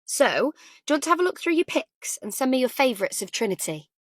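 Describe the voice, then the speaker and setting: An affectionate older mother in an audiobook, acted by a woman, chatty and full of anxious fondness. Her voice sits high, and the sound is close and dry. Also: very animated, fast talker, dry recording. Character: cheery, doting, anxious older mother on the phone. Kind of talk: audiobook character dialogue.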